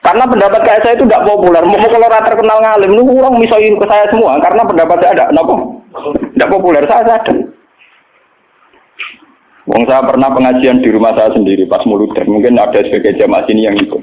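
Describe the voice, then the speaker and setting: A man's voice: high voice, quick pace, a little mellow. A middle-aged man with a Javanese accent gives an informal religious lecture as a cleric, speaking colloquially and bluntly in anecdotes, with rhetorical check-in questions. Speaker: Islamic cleric and religious teacher. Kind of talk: informal religious lecture mixing storytelling with teaching. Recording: dull, echoey, noisy lo-fi recording.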